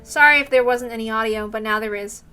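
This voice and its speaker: A woman streamer reads a story aloud in a high, bright voice. Her delivery is dramatic narration, close on the mic. Soft music plays underneath. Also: fast. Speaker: streamer reading a visual novel aloud.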